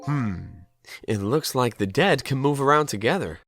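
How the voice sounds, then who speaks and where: A man playing a wry, kindly ghost detective with an expressive delivery in a game fandub. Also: medium pitch, moderate pace. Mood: pondering. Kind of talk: animated game fandub acting.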